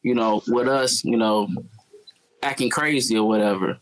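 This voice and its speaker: A male podcast co-host in casual chat who throws out quick, eager questions to the guests. His voice sits at a middle pitch. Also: fairly even intonation, poor audio.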